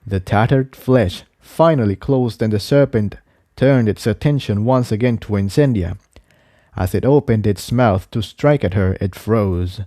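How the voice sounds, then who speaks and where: A man reading an adventure story aloud as narrator, in a measured, dramatic storytelling manner. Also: on the low side, dark tone, no echo, clean studio sound. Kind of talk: scripted narration, audiobook-style story reading.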